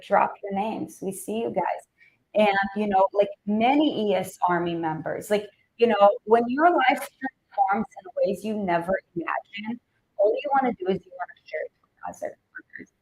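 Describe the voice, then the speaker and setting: An earnest woman, a Hebrew speaker, leads a motivational livestream as a wellness coach. Her voice sits at an ordinary middle pitch, and she often says a point twice to drive it home. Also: low-quality recording. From Israel. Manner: earnest, repeats herself for emphasis.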